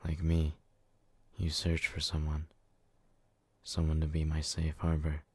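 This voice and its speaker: A man's voice: very deep voice, monotone, unhurried, slightly rough, no echo, full character voice. A man playing a devoted, brooding, hot-headed but tender yandere in an ASMR roleplay, speaking with earnest intensity.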